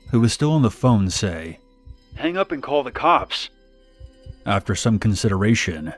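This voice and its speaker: Male horror narrator, lowish voice, scripted first-person storytelling, music underneath. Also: darkish tone. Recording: music bed behind narration. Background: background music.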